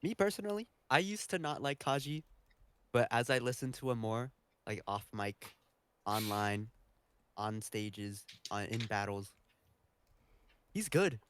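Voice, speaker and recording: A man's voice: medium pitch, animated, a little slow, pauses often, slight background noise. A man sharing his opinions casually as a podcast panelist.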